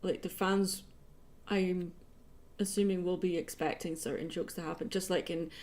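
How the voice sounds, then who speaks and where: A woman's voice: on the low side, steady tone, moderate pace, a little mellow. A woman in casual, conversational chat, the kind heard on a livestream. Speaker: artist hosting a livestream.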